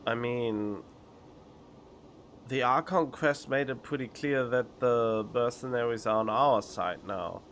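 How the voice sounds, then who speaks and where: A man's voice: mid-range voice, slow pace, a bit bright, slight background noise. A man giving casual, drily joking commentary as a game streamer, close on the microphone. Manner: dry, joking sarcasm. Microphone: close studio microphone. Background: game music.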